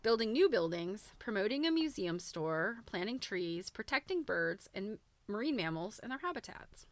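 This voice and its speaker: A woman host chatting casually on a livestream in an informal, halting way, thinking aloud as she goes. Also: mid-range voice, big pitch swings, no room sound. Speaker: host of a live quilting book show. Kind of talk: casual livestream chat, commenting while browsing a book.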